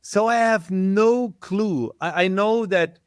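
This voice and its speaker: A man's voice: high voice, slow pace, smooth, no echo, clean studio sound. A middle-aged man with a German accent, a guest in an interview, thinking aloud and searching for his words. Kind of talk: casual interview talk.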